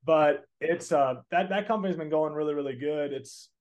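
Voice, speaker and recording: A man's voice: on the high side, moderate pace, a little mellow. Young Southern-accented man, interview guest, earnest and chatty. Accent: Southern American. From Texas. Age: 20s. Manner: earnest, rambling, many restarts and fillers. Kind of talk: casual interview answers.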